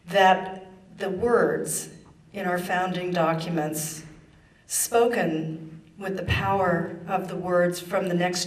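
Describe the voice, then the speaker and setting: Echoey, lower-pitched woman giving formal speech. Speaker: state official speaking at a ceremony. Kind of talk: formal ceremony speech and proclamation reading. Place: large echoey indoor ceremony room. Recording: echoey room, distant microphone.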